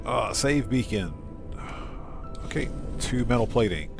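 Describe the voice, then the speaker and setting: A man's voice: medium pitch, expressive, unhurried, creaky, over music. A man giving casual live game commentary, thinking aloud step by step and chatting with his viewers. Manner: talks to himself step by step and addresses chat. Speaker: game streamer, host.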